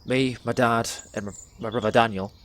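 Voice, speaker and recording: A young man performs a dramatic spoken word monologue in a measured, deliberate storytelling way, pausing between phrases. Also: medium pitch, steady tone, fairly bright tone, slight background noise. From England. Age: young adult.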